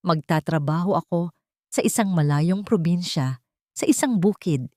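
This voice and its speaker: A Filipino woman voicing a remorseful, humble father in an audiobook drama, solemn and measured. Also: fairly low pitch, mellow, dry recording, studio quality, close to an everyday voice. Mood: humble, resigned. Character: remorseful humble father renouncing his family's fortune.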